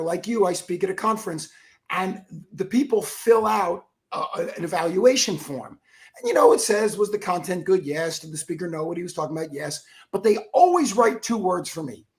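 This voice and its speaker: A man with a froggy, rough voice telling stories as an energetic interview guest, acting out the quotes. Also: high-pitched, moderate pace. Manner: energetic storyteller who acts out quotes. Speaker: guest, keynote speaker and author. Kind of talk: animated interview talk.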